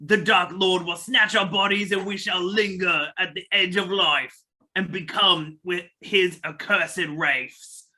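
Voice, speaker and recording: A man doing a crazed, piercing, high-voiced dying old ruler. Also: a little slow, bright, forceful tone, exaggerated voice. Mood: morbid dread. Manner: dragged-out, melodramatic delivery. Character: crazed ranting dying old male ruler, doom-laden. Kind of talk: amateur table read of a film script.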